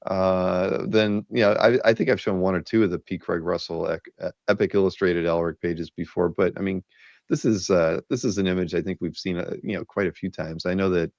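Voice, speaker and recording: A man hosting a casual video-call chat about art, rambling and enthusiastic with lots of fillers, a bit hoarse from a cold. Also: low-pitched, steady tone, fairly quick, darkish tone, no room sound, muffled recording. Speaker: podcast host. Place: home office, video call.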